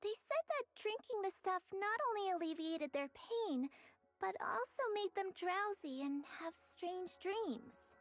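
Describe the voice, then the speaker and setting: A woman voicing a bright, eager young female investigator who is curious and earnest, quick to worry, in a video game. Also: very high-pitched, animated, no room sound, heavily acted. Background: game music.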